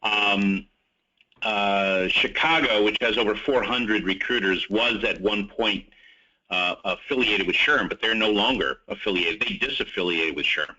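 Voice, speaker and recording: Male moderator, lowish pitch, very bright and tense tone, informal, on a muffled call line. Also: a little slow. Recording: dull conference call line.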